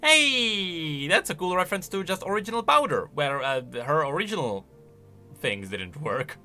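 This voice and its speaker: A man doing off-the-cuff game commentary as a YouTuber. His voice is fairly high and bright, swinging a lot in pitch as he exclaims and reacts. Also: moderate pace, soft background music. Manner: animated, exclamatory.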